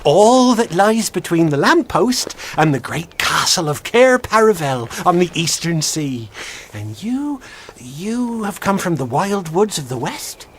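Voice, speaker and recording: Genteel, swooping faun, courteous and wistful. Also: female voice, fairly low pitch, unhurried, a little hiss or hum. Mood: courtly delight. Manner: genteel, flowery, theatrically expressive. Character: courteous, nervous, wistful faun host of a snowy fantasy land. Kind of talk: British children's radio drama acting.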